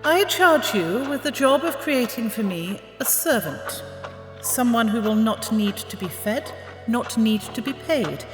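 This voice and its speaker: A woman playing a commanding, protective queen with a deliberate, authoritative manner in a fantasy audio drama. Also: on the high side. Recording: echoey hall, music behind.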